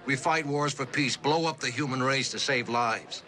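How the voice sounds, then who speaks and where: An actor playing a sardonic, argumentative moralist in a mid-century television drama. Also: male voice, medium pitch, moderate pace, background noise, close to an everyday voice. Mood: sardonic, challenging.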